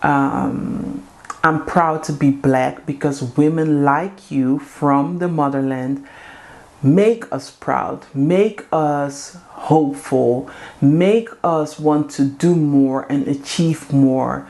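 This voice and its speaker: A woman's voice: deep voice, slow, vocal fry, mellow. A woman, hosting her own vlog and talking straight to her viewers in an earnest, heartfelt, chatty way with the odd "um". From Suriname-Creole family in the Netherlands. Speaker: vlog host. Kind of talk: casual direct-to-camera talk.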